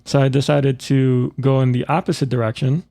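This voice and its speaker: A man presenting a music software tutorial in a casual, informal way, thinking aloud as he works. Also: mid-range voice, little pitch movement, a little fast. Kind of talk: casual spoken software demonstration.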